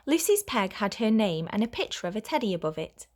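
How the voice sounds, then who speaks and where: A woman reads a children's storybook aloud. Her voice sits at a middle pitch, and she tells the tale clearly and expressively, like a teacher at story time with young children. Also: very animated, brisk.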